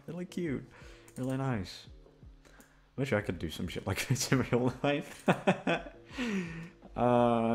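A man's voice: medium pitch, vocal fry, darkish tone. A young man chatting casually to his listeners, rambling and jokey, often tongue-tied and self-deprecating. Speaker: voice actor, channel creator. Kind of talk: casual outro chat.

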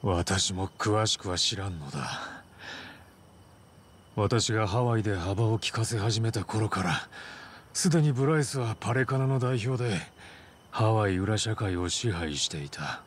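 Grave, low-voiced mafia boss. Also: male voice, animated, measured pace, slight background noise. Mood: somber recounting. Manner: formal, solemn storytelling. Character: proud, wounded Chinese mafia boss, a grave older man. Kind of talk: Japanese video game cutscene acting.

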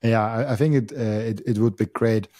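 Young man, podcast host, dark mellow tone, dry close sound. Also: medium pitch, fairly quick, no echo. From Austria. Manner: hesitant, many restarts and fillers. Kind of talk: casual interview. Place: home studio. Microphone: boom mic on arm.

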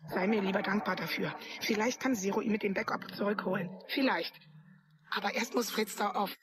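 A woman's voice: medium pitch, steady tone, brisk, noisy background, natural voice. An actress playing a grim, commanding female hacker in a German sci-fi audio drama, speaking tersely and urgently. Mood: hushed, insistent. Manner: terse, commanding, guilt-ridden. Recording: loud music bed under dialogue.

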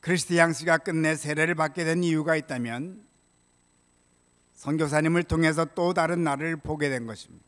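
An older man preaching a prepared sermon as a pastor, in a measured, solemn way, from a church pulpit microphone. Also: fairly high pitch, a bit bright. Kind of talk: sermon, read from prepared text. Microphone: gooseneck pulpit microphone. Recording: reverberant church hall sound.

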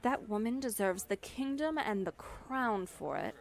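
A woman playing a high-voiced, unhurried confident young witch. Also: lively intonation. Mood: warm, playful. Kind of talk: ASMR roleplay acting.

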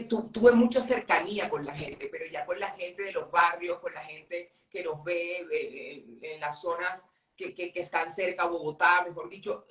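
A man's voice: sits high, distant mic. A middle-aged man with a Colombian accent, chatting easily as a guest on a live video call and laughing often. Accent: Colombian Spanish. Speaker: guest presenter. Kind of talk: casual live interview. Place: home office with cabinets. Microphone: webcam on video call. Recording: roomy sound from the mic.

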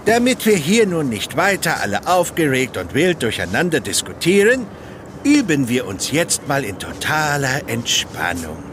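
An actor playing an eccentric puppet-maker and peacemaker in an audio drama, speaking theatrically and placatingly. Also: male voice, a little high, huge pitch swings, normal speed, background noise. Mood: soothing guru. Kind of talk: German children's audio drama acting.

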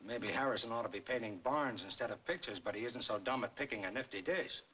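A man's voice: mid-range voice, expressive, quick pace, fairly bright tone, lightly acted. An actor in his thirties playing a breezy, wisecracking boyfriend with slangy talk in a 1940s film. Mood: wry. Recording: dull, faintly noisy 1940s film soundtrack.

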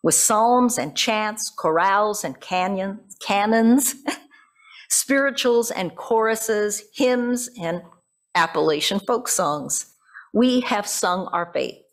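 A woman's voice: mid-range voice, slow pace. Older woman pastor giving a sermon. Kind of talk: sermon read from notes with informal asides. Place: church sanctuary at a pulpit. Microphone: gooseneck pulpit microphone.

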